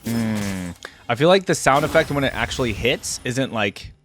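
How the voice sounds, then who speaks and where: A man's voice: medium pitch, expressive, normal speed, a bit bright, slight background noise. A man giving casual reaction commentary as a video host, musing and thinking aloud. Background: game music and sound effects.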